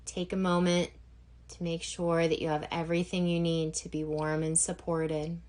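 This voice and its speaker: A woman guiding a yoga nidra relaxation session as instructor, gentle and unhurried, leaving long pauses between phrases. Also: sits low, little pitch movement. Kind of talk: guided meditation instruction.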